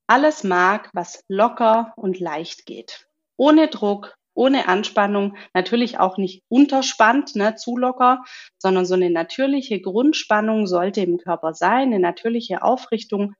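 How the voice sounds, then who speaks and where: A woman working as a voice coach and speech therapist, explaining calmly in a podcast interview and often humming along in agreement. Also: mid-range voice, dull audio. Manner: calm, explanatory, with frequent affirming hums.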